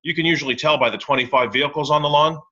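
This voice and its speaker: A man's voice: a little high, rapid-fire, pushed and bright. A man being interviewed as a tax and real estate lawyer on a podcast, talking in a blunt, opinionated, wry way. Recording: slightly dull lo-fi sound.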